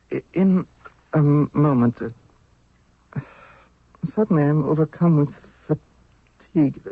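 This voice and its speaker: A man's voice: fairly high pitch, husky, dry recording, exaggerated voice. An actor playing a proud young male pharaoh, regal and formal, in a 1970s radio drama. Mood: drowsy. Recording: narrow, tinny 1970s radio recording.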